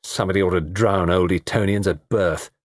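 A man voicing a brash, petulant young Englishman, loud, sarcastic and bantering, in an audiobook story. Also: on the low side, big pitch swings, slow, no room sound, clean studio sound, exaggerated voice. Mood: gloomy.

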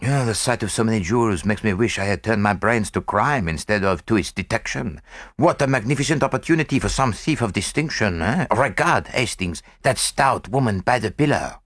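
Man voicing a courtly old Belgian sleuth, fussy and vain, precise foreign phrasing, lively swooping pitch, dry close sound. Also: normal speed, slightly rough, dry recording. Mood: genial. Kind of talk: audiobook character voice.

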